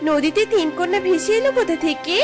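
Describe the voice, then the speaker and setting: A woman's voice: very high voice, fast talker, over loud music. A woman voicing a kindly, curious fairy in a cartoon, speaking with wonder and compassion. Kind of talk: Bengali cartoon dubbing.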